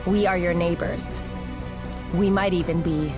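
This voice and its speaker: Male everyman operative: earnest and solemn, higher register, game cinematic. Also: steady tone, a little slow, over music. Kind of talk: dramatic scripted game narration.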